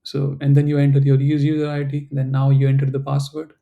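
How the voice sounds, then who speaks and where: A man's voice: medium pitch, completely flat delivery, fairly quick, gravelly, phone-quality sound. A man teaching a German class over a video call. He explains patiently and fills his talk with "you know" and "right", and English is not his first language. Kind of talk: online class instruction.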